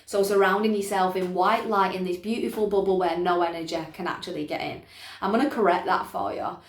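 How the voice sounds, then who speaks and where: Woman explaining emphatically in an echoey room. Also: mid-range voice. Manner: emphatic, repeats points, often checks in with listeners. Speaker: spiritual teacher presenting a self-help video. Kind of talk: informal explanatory talk to camera. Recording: echoey, roomy sound.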